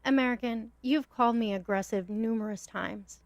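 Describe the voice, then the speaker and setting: A woman's voice: fairly high pitch, no echo. Cutting woman with a dry close voice. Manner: cutting, measured insults. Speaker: panelist on a live-stream debate. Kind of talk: heated political debate.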